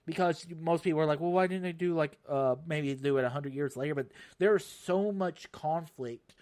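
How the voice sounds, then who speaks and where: A young man hosting his own show, chatting casually about a video game, rambling and restarting sentences with sudden animated bursts. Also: fairly high pitch, moderate pace. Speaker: show host.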